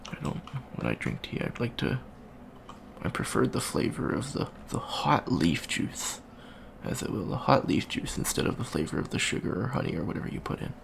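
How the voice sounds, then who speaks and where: A man's voice: lowish voice, huge pitch swings, normal speed, creaky, full character voice. A man playing a shy, friendly young avian alien student in an ASMR roleplay, hesitant, stammering and rambling. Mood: awkward, chatty. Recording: faint background noise.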